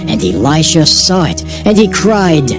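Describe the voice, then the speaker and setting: A man narrating a dramatized scripture reading in a measured, solemn storytelling manner. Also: a little high, sing-song. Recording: narration over background music.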